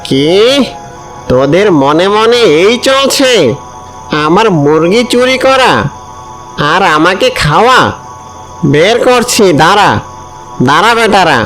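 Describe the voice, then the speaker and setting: A man plays a hot-tempered cartoon sorcerer-bandit with a high-pitched voice. He scolds and threatens over background music. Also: animated, slow pace, pauses often, a bit bright, full character voice, low-quality recording. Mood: furious. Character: irascible male bandit sorcerer in a cave. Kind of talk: children's cartoon voice acting. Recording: music under the voice.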